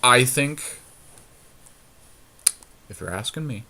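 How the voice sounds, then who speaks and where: A man's voice: mid-range voice, lively intonation, slow pace, pushed and bright. A man co-hosting a podcast, chatting casually about card game strategy and thinking his choices through aloud. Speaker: podcast co-host.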